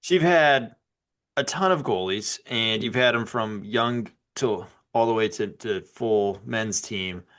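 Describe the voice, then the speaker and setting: A man's voice: on the low side, measured pace, fairly bright tone. A man hosting a podcast, asking questions in a casual, upbeat way with frequent restarts. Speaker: podcast host. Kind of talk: podcast interview and ad read.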